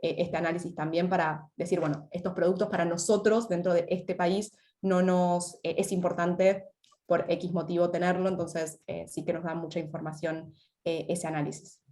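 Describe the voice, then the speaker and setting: Argentine woman panelist, steady and flat delivery, low register, calmly explanatory. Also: moderate pace. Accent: Argentine Spanish. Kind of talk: webinar panel answer.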